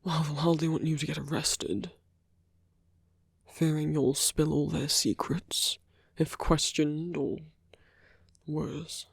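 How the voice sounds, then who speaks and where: An intimate, dry-sounding villain acted by a man for an ASMR audio drama. He speaks slowly and deliberately with a gravelly creak, dropping into murmurs as he coaxes, protective and quietly possessive. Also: on the high side, flat delivery, no room sound, exaggerated voice. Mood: calm, wary. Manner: hushed, halting, deliberate, murmured asides. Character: smooth-talking male villain, protective, coaxing, quietly possessive.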